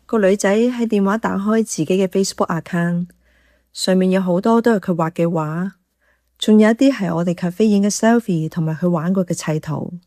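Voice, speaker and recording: Actress as mellow female storyteller narrating. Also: medium pitch, normal speed, no echo, clean studio sound, lightly acted. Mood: intrigued. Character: observant café worker telling the story. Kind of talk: scripted first-person radio drama narration.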